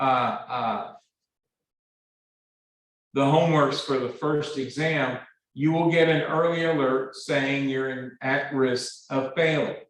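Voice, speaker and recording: A man lecturing a math class, speaking in a halting, earnest way with repeated words and many fillers. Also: a little high. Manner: earnest, pleading. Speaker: math instructor. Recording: very echoey classroom, distant mic.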